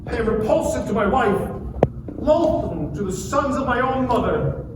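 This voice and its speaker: A man's voice: extremely high pitch, very animated, normal speed. A man in a dramatic scripture reading, playing a wronged sufferer who pleads and protests in an impassioned, declamatory way. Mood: bitter anguish. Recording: very echoey, far-off mic, noisy live room.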